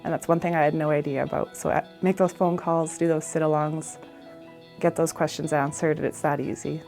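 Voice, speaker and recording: A woman's voice: low voice, level tone, a little fast, vocal fry, darkish tone, faint music behind. A middle-aged woman with a Canadian accent giving an informal, plain-spoken career talk as an emergency dispatcher.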